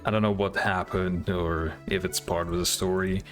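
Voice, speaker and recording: A man with a low-pitched voice gives casual, off-the-cuff reaction commentary. He sounds hesitant, thinking aloud as he goes. Music is clearly audible behind him. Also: steady tone, normal speed. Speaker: reaction video host.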